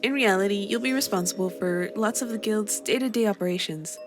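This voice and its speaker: A woman voicing a friendly, busy young guild master who welcomes a new hire in a warm, slightly bossy way. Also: mid-range voice, a little fast, natural voice. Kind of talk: scripted voice drama. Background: faint background music.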